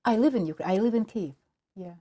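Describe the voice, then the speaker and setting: A woman's voice: mid-range voice, lively intonation, fast, dark tone, no echo. A Ukrainian woman, a native Russian speaker, answering interview questions with many fillers and restarts. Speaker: film producer and guest.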